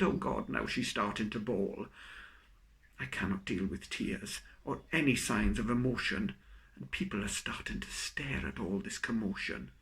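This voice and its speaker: A man reciting his own comic poem in a theatrical, expressive storytelling manner. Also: medium pitch, gravelly. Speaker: poet reading own work. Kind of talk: dramatic comic poetry recital.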